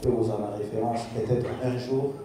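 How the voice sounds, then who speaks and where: A middle-aged Congolese man with a Congolese French accent, a singer answering at a press conference, mixing French and Lingala and pausing often with fillers. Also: mid-range voice, fairly even intonation. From Democratic Republic of the Congo. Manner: hesitant, many fillers. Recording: very echoey room from far off, crowd.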